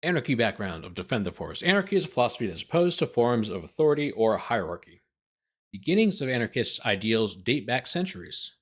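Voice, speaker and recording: Male lawyer-host, medium pitch, document read-aloud with dry, incredulous running commentary. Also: big pitch swings, quick pace, breathy edge. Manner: incredulous, sarcastic asides.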